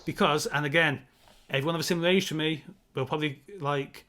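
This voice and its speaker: A man's voice: on the high side, steady tone, quick pace, sharp tone. A man co-hosting a casual film discussion, speaking in an analytical, emphatic way and wandering off into side points. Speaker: podcast co-host.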